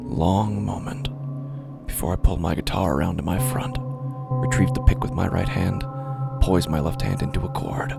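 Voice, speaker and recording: A male actor plays a sinister, self-pitying street musician narrating a horror podcast tale. His voice is very low and full of fry, sinking breathily toward a whisper. He speaks at a crawl, brooding and halting, with menace in the pauses. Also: heavily acted. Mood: watchful, ominous. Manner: slow, halting, brooding delivery with drawn-out pauses. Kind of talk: first-person horror audio drama monologue. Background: music under the voice.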